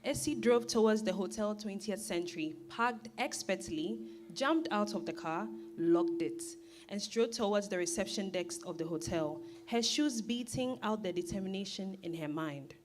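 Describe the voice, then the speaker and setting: A woman's voice: mid-range voice, moderate pace. A woman narrating a scripted story for a dramatized reading.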